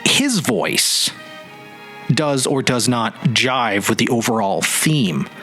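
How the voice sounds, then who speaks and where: A man's voice: mid-range voice, animated, slow, a bit bright. A man hosting a solo podcast in a casual, reflective and rambling way, often searching for words. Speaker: podcast host. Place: home office indoors. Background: music.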